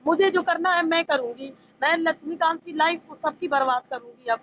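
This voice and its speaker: A woman's voice: very high-pitched, fairly quick. A woman in an emotional private phone argument, insistent and pleading, often repeating herself. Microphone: phone call. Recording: narrow tinny phone line, faint noise.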